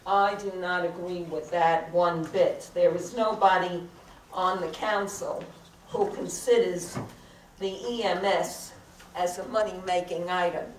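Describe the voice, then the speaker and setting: Older woman at a council podium, lowish voice, slow and halting, full of "uh"s, recorded from far back in an echoey chamber. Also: fairly even intonation, a little hiss or hum. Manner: deliberate, halting with frequent "uh" fillers. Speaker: resident speaking at public comment. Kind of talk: public comment at a council meeting. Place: large crowded council chamber. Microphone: podium microphone, heard from a far camera.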